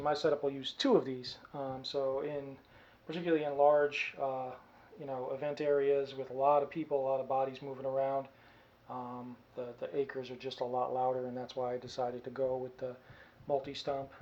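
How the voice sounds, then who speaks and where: A man casually and haltingly explaining gear in a demo, recorded by a camera mic in a small room. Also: mid-range voice, darkish tone, muffled recording. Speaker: costume hobbyist demonstrating gear. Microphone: camera mic from a short distance.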